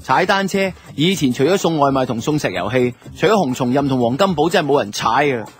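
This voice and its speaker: A man's voice: mid-range voice, expressive, fairly quick, soft background music, lightly acted. A man with a Hong Kong Cantonese accent playing a guilty, self-justifying two-timing boyfriend who narrates a radio drama in colloquial first person. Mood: wry, musing. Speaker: lead actor and narrator.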